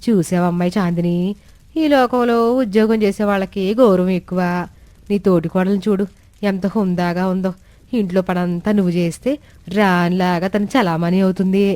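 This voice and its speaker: A woman's voice: lowish voice, fairly even intonation, normal speed, mellow, dry recording, natural voice. A woman voicing a scheming, envious middle-aged aunt who stirs up quarrels in a family story. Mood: sly.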